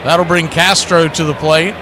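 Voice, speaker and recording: A man doing live baseball play-by-play as the announcer, conversational, with drawn-out calls and quick asides. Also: fairly high pitch, steady tone, background noise.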